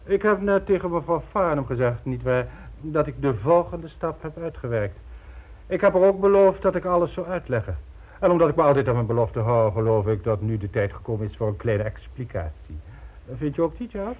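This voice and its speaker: A smug crime syndicate boss posing as a gentleman philanthropist. He is mockingly polite and pompous, with swooping, animated intonation. It is an old radio drama with a slightly dull, muffled sound. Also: a little high, brisk. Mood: grandiose, self-assured. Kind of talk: radio play acting.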